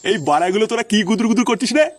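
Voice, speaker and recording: A man's voice: sits high, rapid-fire, very noisy, natural voice. A man voicing a crude-tongued, doting old grandfather in a comic cartoon dub. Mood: irritable. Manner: grumbling, crude.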